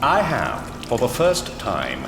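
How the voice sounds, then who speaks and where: A man's voice: mid-range voice, huge pitch swings, takes it slowly, noisy background. An actor playing a grandiose, pompous mad surgeon villain in an audio drama, mock-courteous and gleefully proud of his work. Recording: echoey hall reverb with loud music.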